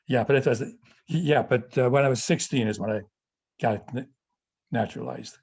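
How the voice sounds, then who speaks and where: Husky, mellow-voiced man in his eighties. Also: medium pitch, expressive. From France. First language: French. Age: 80s. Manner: halting, with many restarts and "yeah" fillers. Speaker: guest speaker answering audience questions. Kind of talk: recounting personal memories.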